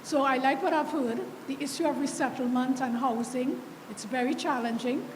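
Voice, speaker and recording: Caribbean woman, audience question, fairly high voice, echoey hall, noise behind. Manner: polite, measured, thoughtful. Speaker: audience member asking a question. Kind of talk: question from the floor.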